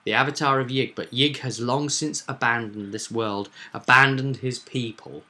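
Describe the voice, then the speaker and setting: A man co-hosting as game master, casually recapping a tabletop session with drawn-out, dramatic stresses. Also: medium pitch, fairly even intonation, pushed and bright.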